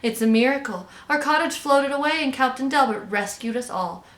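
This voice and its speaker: A woman's voice: high voice, lively intonation, a little fast, fairly bright tone, voice pushed up. A woman voicing a harried, emotional mother toad who speaks emphatically in a children's storybook. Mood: relieved. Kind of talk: children's storybook read aloud. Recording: roomy, echoing indoor sound.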